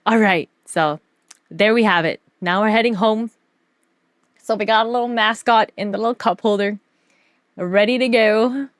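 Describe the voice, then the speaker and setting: A woman streamer telling stories casually over a slideshow, animated, giggly and slangy in her delivery. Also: medium pitch, moderate pace, pauses often, dry recording, clean studio sound. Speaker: streamer and artist.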